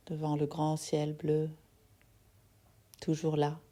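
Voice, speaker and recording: A soft-spoken woman guiding a yoga nidra relaxation in a soothing manner, leaving long pauses between phrases. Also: deep voice, completely flat delivery. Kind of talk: guided meditation.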